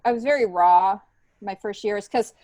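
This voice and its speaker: A woman's voice: medium pitch, normal speed, warm tone, no room sound. A middle-aged woman with an American accent talks casually and with animation as an interview guest. She often breaks off and restarts her sentences. The recording is dry, with no room around the voice. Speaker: podcast guest, stand-up comedian. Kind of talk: casual conversational storytelling.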